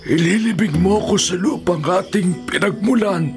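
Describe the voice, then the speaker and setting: A man's voice: high voice, a little slow. An actor playing a dying, devout old father in a radio drama, solemn and weak. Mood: weary, solemn. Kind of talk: Filipino radio drama acting. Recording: radio drama with music underneath.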